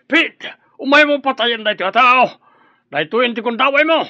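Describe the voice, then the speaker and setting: A man's voice: extremely high pitch, normal speed, bright, forceful tone, no room sound, full character voice. A Filipino man acting in a radio drama as a cornered crime boss, cowardly yet defiant. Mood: pleading, defiant. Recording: dull, lo-fi radio drama audio.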